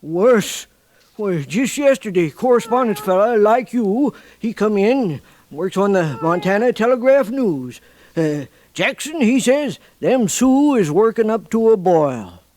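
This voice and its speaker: An actor playing a garrulous, folksy American frontier bartender in a radio western, with a rustic, chatty drawl. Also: male voice, high voice, sing-song, unhurried, darkish tone, slight background noise. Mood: ominous gossip. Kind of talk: old-time radio western acting.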